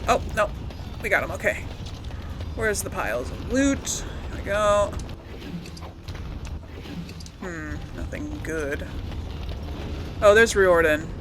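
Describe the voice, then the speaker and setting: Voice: medium pitch, fairly bright tone, slight background noise. A gaming video host giving casual, chatty Let's Play commentary and reacting aloud to the game.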